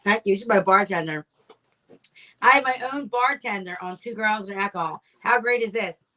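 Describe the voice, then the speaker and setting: A woman co-hosting a podcast in casual chat, bubbly and effusive, quick to praise her guest. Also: medium pitch, pushed and bright. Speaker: podcast co-host.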